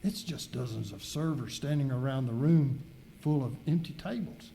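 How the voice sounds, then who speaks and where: A man's voice: medium pitch, normal speed, creaky, warm tone, a little hiss or hum, lightly acted. A man playing a passenger and narrator in an amateur radio skit. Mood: puzzled. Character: puzzled male cruise passenger and radio show narrator.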